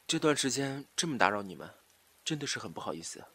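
An actor playing an obsessive, scheming jilted lover in a Chinese audio drama, swinging from soft pleading to taunting menace. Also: male voice, mid-range voice, sing-song, fast talker, noisy background. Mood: plaintive coaxing.

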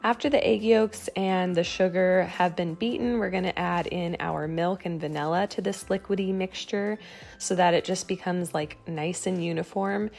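American lady chatting thru a baking recipe, kinda low creaky voice, light music behind. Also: steady tone, normal speed, darkish tone. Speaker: home baking vlogger and host. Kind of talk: casual recipe voice-over.

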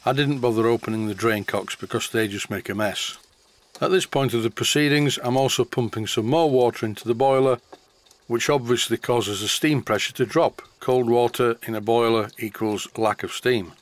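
A man's voice: mid-range voice, normal speed. A middle-aged man from England with a Yorkshire accent, narrating his model steam engine video in a plain, explanatory way. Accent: Yorkshire English. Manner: matter-of-fact, explanatory. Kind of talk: voice-over narration of a workshop video.

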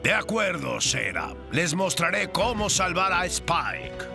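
A gruff, stubborn cartoon dinosaur. The voice is gravelly and pitched high for a man, swinging widely as it blusters slowly over music. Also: very animated, exaggerated voice. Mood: gruff, scornful. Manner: blustering, dismissive. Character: gruff, stubborn adult male horned dinosaur. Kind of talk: animated film dub acting.

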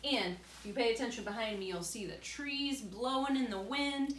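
A woman's voice: on the high side, moderate pace, a bit bright. Roomy, distant woman reading to children. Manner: simple, explanatory address to young children. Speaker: teacher reading aloud to children. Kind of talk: storybook read-aloud with explanatory asides to children. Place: indoor room beside a large glass door. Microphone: camera a few feet away. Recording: roomy, distant camera mic with faint noise.

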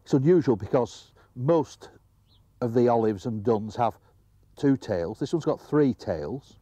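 An older English man presenting an outdoor demonstration of river insects, explaining in an unhurried, pointing-things-out way. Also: medium pitch, animated, warm tone, no echo. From England. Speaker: fly fishing entomology presenter. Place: outdoors by an upland river among vegetation.

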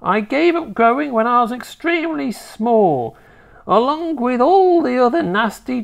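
A woman's voice: fairly high pitch, vocal fry, voice pushed up, heavily acted. A woman voicing a nasty, bossy, gleefully wicked tiny old grandmother in a children's storybook, with a snappish, scornful, emphatic delivery.

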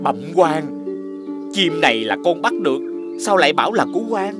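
A man with a southern Vietnamese accent playing a gullible, grumbling middle-aged peasant in an animated fairy tale, comically whiny and bossy. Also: a little high, normal speed. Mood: nervous, pleading. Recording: loud music behind the voice.

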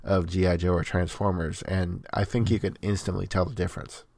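Deep-voiced man explaining on a podcast. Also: little pitch movement, fairly quick, gravelly, darkish tone, dry recording. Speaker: podcast co-host. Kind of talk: casual chat.

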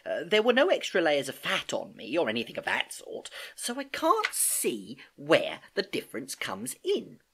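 An earnest, curious schoolgirl from a storybook, put on by the narrator. Her voice sits high and breathy, with swooping questions and ready exasperation. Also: huge pitch swings, normal speed, hardly any pauses, a bit bright, heavily acted. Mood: earnest, puzzled. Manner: questioning, eager.

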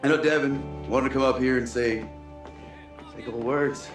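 A man's voice: medium pitch, steady tone, moderate pace, natural voice. A man playing an informal, wry young host of a gathering in a game cutscene. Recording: roomy echo, music under the voice.

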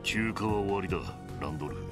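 A man's voice: low voice, quick pace, natural voice. A man playing an imperious middle-aged mercenary commander in a game, haughty, menacing and commanding. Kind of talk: Japanese game voice acting. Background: game music.

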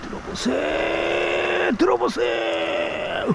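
A man's voice: very high voice, huge pitch swings, extremely slow, gravelly, shouting, very noisy. A man vlogging on a rocky riverbank, chatting and exclaiming to himself as he eats and fishes, recorded on a head-mounted camera. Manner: chatty, exclaiming, talks to himself while eating and fishing. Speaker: fishing vlogger. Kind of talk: casual outdoor vlog narration. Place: rocky riverbank outdoors at night and day. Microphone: head-mounted action camera.

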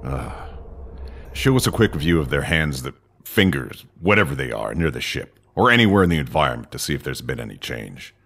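Stern sci-fi commander played by a man: extremely deep, husky and gravelly, terse orders, audio drama. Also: big pitch swings, fairly quick, fairly bright tone, full character voice. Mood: urgent, commanding. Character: stern, worried commander in charge of security team.

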